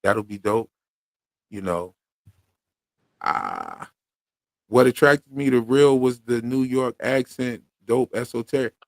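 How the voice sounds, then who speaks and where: A man's voice: medium pitch, sing-song, slow pace, vocal fry, long pauses, no room sound. A man from Pennsylvania with a Philadelphia accent, hosting a casual livestream chat in a slangy, laid-back way full of fillers. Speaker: podcast host.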